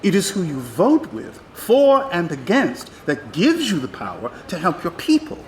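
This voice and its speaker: A man's voice: high-pitched, huge pitch swings, a little slow, a little mellow, echoey room, slight background noise, heavily acted. An actor playing a pragmatic American congressman in an audio drama, lecturing insistently with an American accent. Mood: cautioning. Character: pragmatic, cautioning male congressman, seasoned political insider.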